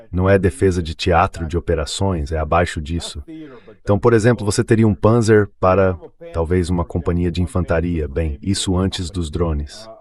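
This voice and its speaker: Very deep-voiced man explaining conversationally. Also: darkish tone. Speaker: interview guest. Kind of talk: dubbed translation of a spoken interview.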